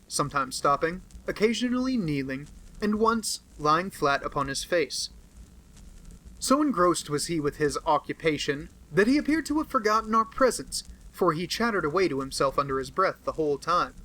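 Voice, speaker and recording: A man with an American accent narrating a classic detective novel in a steady, earnest way. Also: fairly high pitch, low-quality recording. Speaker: audiobook narrator. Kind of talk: amateur audiobook narration.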